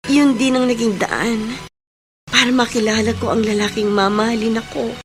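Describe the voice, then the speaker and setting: An actress playing a forgiving, compassionate young wife in a sentimental radio drama, speaking gently and earnestly. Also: female voice, on the high side, steady tone, normal speed, natural voice. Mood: tender, emotional.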